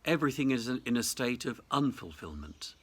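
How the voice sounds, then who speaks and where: A man, a cathedral dean, giving a reflective Easter message in measured, deliberate phrases. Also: mid-range voice, big pitch swings, husky.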